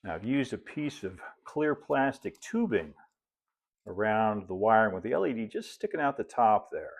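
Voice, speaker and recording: A man, narrating a hands-on hobby tutorial in a relaxed, folksy way, as if thinking aloud while he works. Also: on the low side, normal speed, gravelly. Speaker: hobbyist presenter of model railroad build videos.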